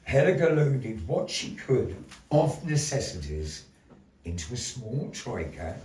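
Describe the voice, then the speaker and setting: A man telling a dark fairy tale live in a florid, dramatic manner, with deliberate pauses. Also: lowish voice, slight background noise. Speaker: storyteller in a puppet show. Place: indoor gallery performance space. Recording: echoey room, distant mic.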